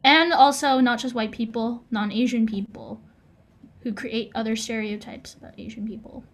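A woman's voice: a little high, fairly even intonation, moderate pace. A young American woman co-hosting a casual podcast, talking earnestly and thoughtfully, restarting her sentences as she goes. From United States. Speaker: podcast co-host.

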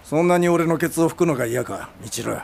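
An actor in a radio drama playing a crude, proud old film director, curt and teasing, with a gravelly voice. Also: male voice, fairly high pitch, expressive, fast, a little mellow. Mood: needling. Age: older. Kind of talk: Japanese radio drama acting.

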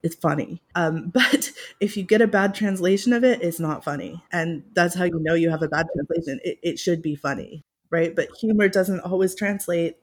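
A woman talking about her work as a professor in a casual, self-deprecating way, laughing now and then. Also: lowish voice. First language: English. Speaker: guest, Russian literature professor. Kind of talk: conversational interview.

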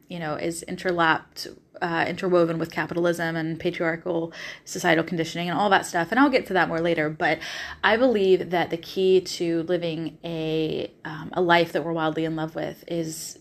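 A woman from the American South with a Southern accent, hosting a podcast in a casual, enthusiastic motivational monologue, swearing freely and using plenty of fillers. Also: fairly low pitch. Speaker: podcast host.

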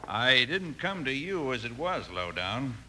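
Earnest fort captain, noisy old recording. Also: male voice, medium pitch, very animated, bright, forceful tone, heavily acted. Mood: measured. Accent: American. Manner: plain, measured, earnest. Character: straightforward army fort captain, earnest and puzzled. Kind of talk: 1950s western radio acting.

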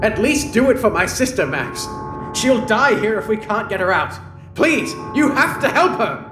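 A man's voice: high-pitched, sing-song, moderate pace. A man playing a cornered villain, a former captor, anxious and pleading as he reasons, in a sci-fi audio drama. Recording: echoing room, music underneath.

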